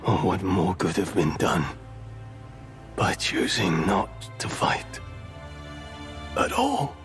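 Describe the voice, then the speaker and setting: A man playing a bitter old friend in a dramatic game story, grave, measured and reproachful, over music. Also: deep voice, animated. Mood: solemn, reproachful. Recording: music underneath.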